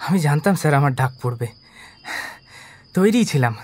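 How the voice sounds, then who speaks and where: An actor in an audio drama playing an eager, deferential small-time private investigator who earnestly defends himself to the police. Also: male voice, fairly high pitch, lively intonation, normal speed. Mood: eager, defensive.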